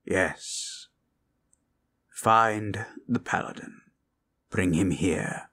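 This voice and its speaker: A man voicing a grave skeleton-faced wizard with a low gravelly voice and a measured, formal manner, in a fantasy ASMR roleplay. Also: pushed and bright, heavily acted. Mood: solemn, commanding. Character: grave, scary skeleton-faced male wizard, wise quest-giver.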